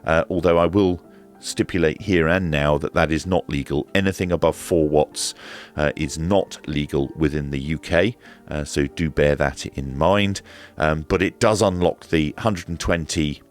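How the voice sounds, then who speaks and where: A man giving a hands-on equipment review in a measured, matter-of-fact, explanatory way. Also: extremely low pitch. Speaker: presenter of a radio equipment review. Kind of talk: hands-on demonstration and review commentary.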